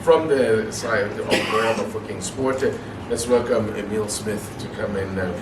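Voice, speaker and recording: A loud, jovial man emcees an event with an informal manner. He sounds distant in a reverberant hotel function room. Background noise carries under the speech. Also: lowish voice, unhurried. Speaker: master of ceremonies. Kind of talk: event hosting. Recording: echoey room from far off, noisy.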